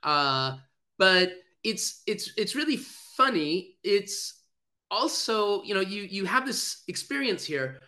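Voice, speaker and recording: Halting man, bright voice. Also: high-pitched, big pitch swings. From United States. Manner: halting, many restarts and fillers. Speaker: guest. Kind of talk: casual chat over video call.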